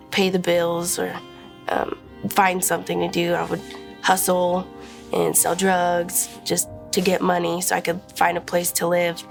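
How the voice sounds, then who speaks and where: A young woman from Idaho tells her story in a hesitant, halting way, with a fair amount of vocal fry. Her voice sits a little low, and faint music plays behind her. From Idaho, USA. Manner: hesitant, halting, many fillers. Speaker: interviewee. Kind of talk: personal testimony.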